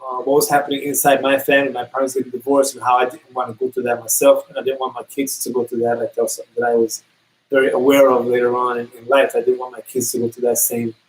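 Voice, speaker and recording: A man's voice: medium pitch, darkish tone, rough recording. A man from New York co-hosting a casual talk show, often repeating and restarting phrases. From New York City. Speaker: podcast co-host. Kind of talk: casual conversation.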